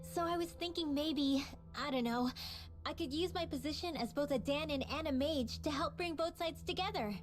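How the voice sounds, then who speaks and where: A woman's voice: high-pitched, moderate pace, faint music behind, close to an everyday voice. An actress voicing an earnest, thoughtful young woman mage in a video game cutscene, speaking sincerely. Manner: sincere, reflective.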